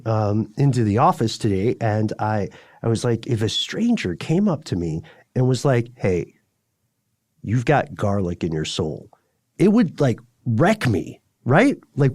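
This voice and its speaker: A man's voice: mid-range voice, very animated, a little slow, darkish tone, no echo, clean studio sound. A man co-hosting a podcast in casual, bantering chat, with a wry, playful and rambling way of talking. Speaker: podcast co-host. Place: remote recording, dry close sound.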